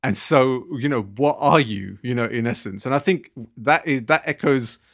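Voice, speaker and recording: Man, gravelly voice, earnest, restarts often, casual podcast talk. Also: mid-range voice, moderate pace. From Britain. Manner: earnest, with many fillers and restarts. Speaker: podcast co-host.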